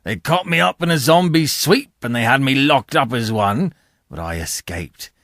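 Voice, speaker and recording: A man voicing a ragged escaped convict in an audiobook, rough, hungry and threatening, with rustic speech and dropped sounds. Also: medium pitch, lively intonation, moderate pace, fairly bright tone, dry recording, clean studio sound. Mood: gruff, indignant. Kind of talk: dramatised audiobook character voice.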